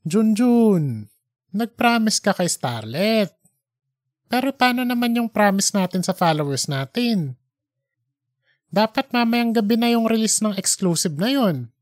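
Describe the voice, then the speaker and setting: A man's voice: high-pitched, expressive, a little slow, pauses often, no room sound, voice pushed up. An exasperated male newspaper editor, acted out by a male narrator in an audiobook story. He scolds with pointed questions and restrained irritation, in a close, dry recording. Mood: exasperated, stern.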